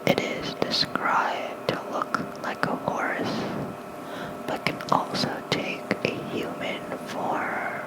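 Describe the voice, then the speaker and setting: ASMR host for sleep content: fully whispered, unhurried reading, soft and casual, close on the mic. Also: low-quality recording. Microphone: close fluffy-covered microphone.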